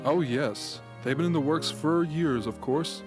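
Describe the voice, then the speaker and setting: A man's voice: on the high side, a little slow, lightly acted. A man playing a polite, genial but guarded official in an amateur radio drama, with a courtly manner. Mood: genial, sly. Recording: music behind.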